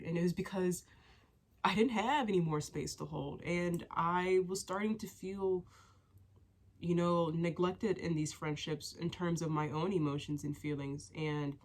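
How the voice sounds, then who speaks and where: Low-voiced young woman with a cold. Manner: rambling and candid, many fillers and restarts. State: stuffy from a cold, sniffling. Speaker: vlogger. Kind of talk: casual chat to camera.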